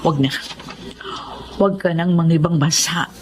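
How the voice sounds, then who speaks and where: A woman's voice: low voice, unhurried. A woman playing a worried, protective mother in a Tagalog radio drama, anxious and pleading with her daughter. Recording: slight background noise.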